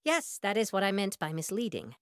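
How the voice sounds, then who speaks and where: Ceremonious, bumbling little creature leader. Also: female voice, medium pitch, very animated, brisk, a bit bright, clear, resonant tone, no room sound, clean studio sound. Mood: awkward, apologetic. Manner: ceremonious, awkwardly apologetic, hesitant. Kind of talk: animated video game cutscene acting.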